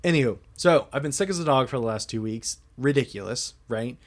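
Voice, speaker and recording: Easygoing male podcast co-host, mid-pitched, loose casual talk with the odd swear. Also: lively intonation, a little fast. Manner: laid-back, swears casually, laughs between phrases. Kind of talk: casual chat.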